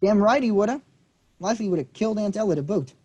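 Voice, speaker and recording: A man playing a husky hot-headed young cousin. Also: sits high, animated, fast, darkish tone, highs cut off. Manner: combative, swearing, pushy. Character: young man, quick-tempered city cousin. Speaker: actor in a staged reading.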